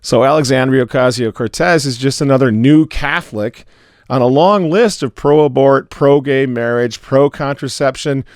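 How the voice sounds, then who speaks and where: A hoarse, raspy man talks through a cold, delivering mocking commentary from behind an editor's desk. He drops sarcastic asides as he goes. The sound is dry and close, with no room echo. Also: mid-range voice, moderate pace. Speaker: newspaper editor hosting commentary. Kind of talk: casual political and religious commentary.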